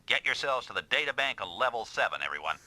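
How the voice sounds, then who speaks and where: An actor voicing a gruff, commanding male team leader in an anime-style video game, scolding subordinates with authority. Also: mid-range voice, sing-song, fast, bright, forceful tone. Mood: scolding, urgent.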